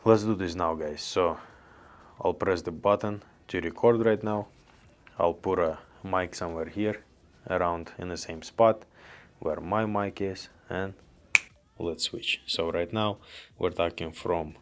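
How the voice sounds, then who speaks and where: A young man with a very low, deep voice talks in an even, barely rising tone. His delivery is loose and chatty, full of restarts and plain phrasing, like an unscripted gear review vlog. He is recorded up close on a handheld reporter mic in a small office room. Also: a little slow. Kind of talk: casual product review vlog. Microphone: handheld reporter mic and lavalier, on-camera framing.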